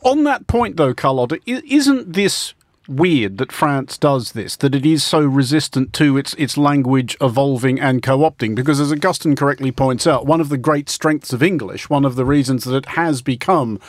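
A male radio host leads a panel with a wry, mock-pompous tone, tripping over repeated starts. His voice sits at an ordinary pitch. The studio sound is close and dry. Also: normal speed, dry recording.

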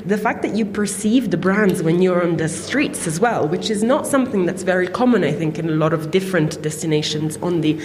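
A woman speaking as a guest on a live panel, fluent and measured. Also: on the low side, a little mellow. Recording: long reverb of a hotel hall with faint noise.

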